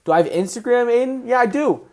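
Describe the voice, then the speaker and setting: A man hosting a casual livestream, excitable and answering viewers' chat questions as he goes. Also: extremely high pitch, brisk. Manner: excitable, voice rises when passionate.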